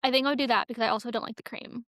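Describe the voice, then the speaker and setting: A woman's voice: on the high side, fairly even intonation, racing. A young woman co-hosting a casual pop-culture podcast in a chatty, giggly manner. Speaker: podcast co-host.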